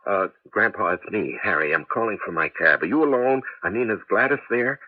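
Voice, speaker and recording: Excitable cabbie-inventor, radio play. Animated, emphatic, halting; muffled broadcast sound. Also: male voice, on the low side, slightly rough. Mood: excited, urgent. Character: excitable male taxi driver and amateur inventor. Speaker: radio drama actor. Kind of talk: 1980s radio drama acting. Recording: dull, noisy old radio broadcast.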